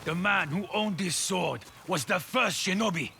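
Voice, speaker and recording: A man playing an arrogant, power-hungry shinobi villain in a video game, with a gravelly, menacing, theatrical delivery. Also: high voice, moderate pace, slight background noise, exaggerated voice. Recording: loud game music behind.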